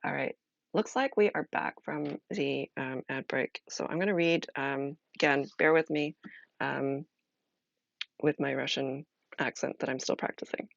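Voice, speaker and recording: A woman streamer chatting casually as she explains a solo role-playing game, halting and thinking aloud. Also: rumbling low, gravelly, no echo, muffled recording.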